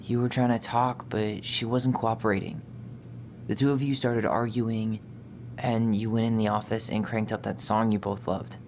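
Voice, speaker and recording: An actor playing a gentle, thoughtful young man in a video game, with an understated, subdued delivery. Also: fairly low pitch, level tone, normal speed, slight background noise, natural voice. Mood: matter-of-fact. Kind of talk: naturalistic video game acting.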